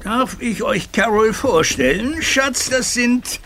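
An actor playing a chatty, jovial older husband in a German audio drama, talking effusively to visitors. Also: male voice, very high-pitched, expressive, slow, pushed and bright, slight background noise, exaggerated voice. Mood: effusive, hospitable.

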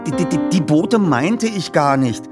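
Man playing an excitable, cheeky teenage boy detective. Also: a little high, moderate pace, over loud music, voice pushed up, lightly acted. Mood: flustered.

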